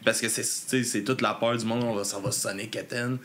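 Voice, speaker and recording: A man's voice: fairly low pitch, a little fast, a little hiss or hum. A Québécois man hosting a casual podcast interview, rambling and laughing easily. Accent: Québécois French. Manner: relaxed, rambling, laughs often. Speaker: podcast host.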